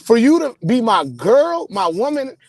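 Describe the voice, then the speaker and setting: A man with a high voice hosts a live chat, pressing his guest with measured, probing questions. He is calling in from his car, and his voice sounds dry and close. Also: big pitch swings, a little mellow, dry recording. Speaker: host. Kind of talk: casual live-stream interview.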